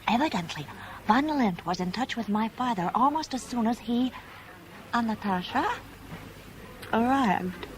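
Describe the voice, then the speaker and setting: An actress playing a composed, businesslike woman in a radio drama, speaking in a measured, precise and discreet way. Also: fairly high pitch, animated. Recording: noisy old radio drama recording.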